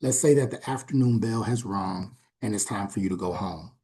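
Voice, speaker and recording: Mellow-voiced male lecturer, conversational. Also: lowish voice. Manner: conversational, frequent "right?" check-ins and "um" pauses. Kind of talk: recorded online class lecture.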